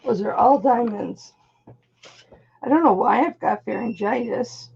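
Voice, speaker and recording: Hoarse, gravelly woman vlogger. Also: on the high side, unhurried, dull audio. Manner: chatty, exclaims when frustrated. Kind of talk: casual chat while testing stones.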